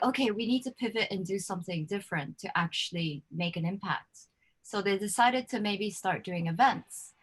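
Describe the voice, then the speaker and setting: Chatty woman hosting a live interview. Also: mid-range voice. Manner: chatty, laughs often, many fillers like "like" and "you know". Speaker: host. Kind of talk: casual livestream interview.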